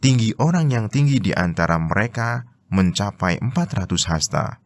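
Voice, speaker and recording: A man's voice: on the low side, lively intonation, normal speed. A man with an Indonesian accent reading religious commentary as formal scripted narration.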